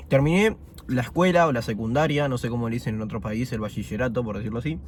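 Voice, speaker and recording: A man in his twenties with a Buenos Aires Argentine accent, chatting casually in a vlog while eating fast food, relaxed and full of fillers. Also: medium pitch, a little hiss or hum. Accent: Argentine Spanish, Buenos Aires. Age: 20s. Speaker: vlogger.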